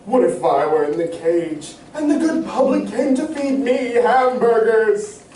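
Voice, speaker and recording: Pompous, wordy cartoon glutton played by a man, animated intonation, echoey distant stage pickup. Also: sits high, a little slow. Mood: lofty. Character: pompous, hamburger-obsessed cartoon moocher with lofty words. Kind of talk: old radio comedy acting. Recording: roomy stage recording, far mic, faint noise.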